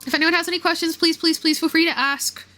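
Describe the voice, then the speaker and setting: A woman livestreaming a video game, talking casually and explaining things in a thoughtful, halting way. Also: very high voice, steady tone, pushed and bright. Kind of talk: casual game stream commentary and read-aloud. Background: music.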